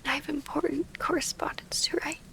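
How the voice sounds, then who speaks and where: Woman acting a soft-whispering, refined female vampire. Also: high-pitched, steady tone, fairly quick, low-quality recording. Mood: resigned, cool. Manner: soft, breathy half-whisper, formal old-fashioned diction. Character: gentle, formal female vampire noble, wounded but devoted lover. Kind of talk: intimate ASMR roleplay audio drama.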